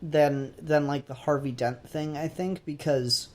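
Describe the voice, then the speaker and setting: A man's voice: on the high side, steady tone, measured pace. A man talking casually as a podcast host, opinionated and emphatic, swearing freely. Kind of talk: casual pop-culture podcast discussion.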